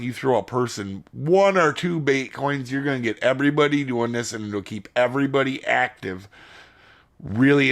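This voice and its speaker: A man hosting a gaming channel, chatting casually to his viewers while losing his voice and rambling from point to point. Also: medium pitch. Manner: rambling, addresses viewers as guys. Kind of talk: casual video commentary.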